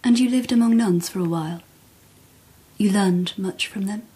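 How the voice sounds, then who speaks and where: A courtly Japanese empress in a radio play. Her voice is breathy-husky at a medium pitch. She speaks formally and precisely, poised and gently correcting. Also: normal speed. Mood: probing. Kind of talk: radio drama acting.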